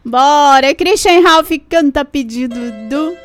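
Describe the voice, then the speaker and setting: A woman's voice: high-pitched, a little slow, faint music behind. A Brazilian woman hosting a radio show in a casual, conversational way, readily chiming in. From Brasília, Brazil. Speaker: radio host. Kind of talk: casual chat.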